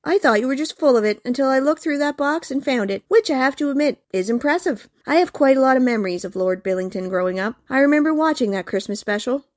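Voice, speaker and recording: Actress as scheming young female rival, haughty, sardonic, higher pitch, dry studio-like sound, comic audio play. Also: animated, fast, few pauses, dry recording. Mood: taunting. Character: smug, taunting young woman, scheming rival. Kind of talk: comic radio play acting.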